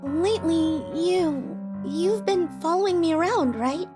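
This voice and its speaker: A woman's voice: extremely high pitch, unhurried, over music. A woman voicing a cute middle-school little sister in a manga dub, girlish, polite yet blunt. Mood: shy, relieved.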